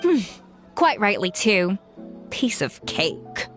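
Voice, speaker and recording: A woman's voice: medium pitch, huge pitch swings, takes it slowly. A woman playing a self-assured, exacting young female chef in a video game, poised and explanatory. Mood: smug. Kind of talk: video game character acting. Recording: music under the voice. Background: game music.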